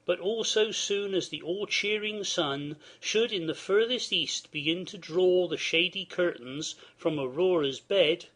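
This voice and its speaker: A man playing a worried, dignified older nobleman and father, reading Shakespearean verse in a formal, measured, declamatory way. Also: fairly high pitch, fairly bright tone, full character voice.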